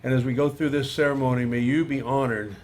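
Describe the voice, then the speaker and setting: A man's voice: mid-range voice, fairly even intonation, fast talker, slight background noise. An American man praying aloud at a ceremony in a solemn, reverent manner. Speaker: prayer leader. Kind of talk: public spoken prayer. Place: outdoor memorial ceremony.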